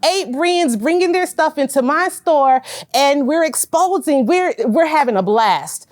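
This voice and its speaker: A woman in her forties from Connecticut, a business owner giving a loud, emphatic pitch and calling her listeners y'all. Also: expressive, unhurried, dry recording, studio quality. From Hartford, Connecticut.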